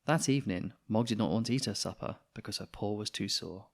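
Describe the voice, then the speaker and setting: A man reading a children's picture book aloud in an expressive storytelling style. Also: fairly low pitch, a little fast.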